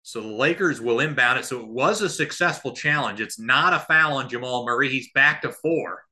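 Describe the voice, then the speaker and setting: A man calling live basketball play-by-play as a podcast host, somewhat halting between plays. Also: medium pitch, bright, forceful tone.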